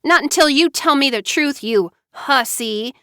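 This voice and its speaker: Woman voicing a shrill, furious jealous sister. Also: a little slow, sharp tone, dry recording, clean studio sound. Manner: shrill, accusing.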